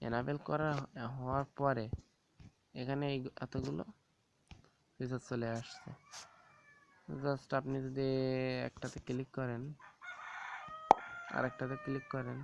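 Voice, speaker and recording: A man gives an informal, halting step-by-step phone app tutorial, often repeating himself. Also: mid-range voice, little pitch movement, vocal fry. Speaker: tech tips tutorial host. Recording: very noisy, rooster crowing and clicks behind.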